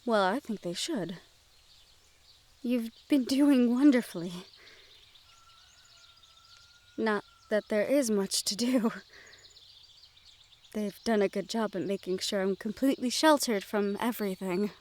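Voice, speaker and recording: A woman playing a sheltered young noblewoman in a fantasy audio roleplay, gentle, wistful and quietly willful, with formal phrasing. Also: mid-range voice, a little slow, natural voice. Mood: playful, fond. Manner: formal speech with few contractions. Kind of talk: fantasy audio roleplay acting, addressed to the listener.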